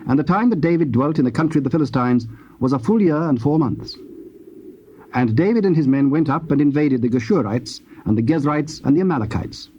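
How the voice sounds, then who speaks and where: A man narrating scripture from a script in a solemn, measured, formal manner. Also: fairly high pitch, expressive, dark tone. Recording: dull, faintly noisy old cassette.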